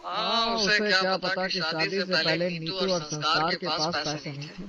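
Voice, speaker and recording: A man acting as an earnest middle-aged father-in-law with a high voice. He is kindly and reassuring in a cartoon family drama, on a dull recording with a loud crowd behind. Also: steady tone, normal speed, close to an everyday voice. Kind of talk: Hindi moral-story cartoon dialogue.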